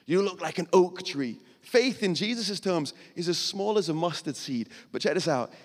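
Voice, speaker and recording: A male pastor preaching a sermon on a church stage into a microphone, explaining in a conversational way with dramatic pauses. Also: sits high, animated. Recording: long hall reverb.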